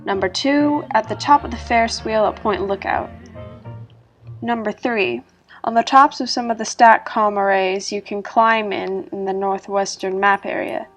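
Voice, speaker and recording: A woman reading scripted narration as a storyteller, in a measured, explanatory manner. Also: mid-range voice, steady tone. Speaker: narrator reading an online horror story about a video game. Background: music.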